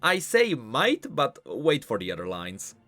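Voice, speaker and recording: A man hosting a video game lore explainer, speaking in an animated, emphatic way. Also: mid-range voice, unhurried. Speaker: host of a game lore channel. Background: music.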